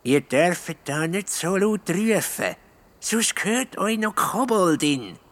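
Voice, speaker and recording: A storyteller voicing a cautious, watchful stone gargoyle in a children's story, speaking in slow, hushed warnings. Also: medium pitch, sing-song, pitched above the performer's own voice.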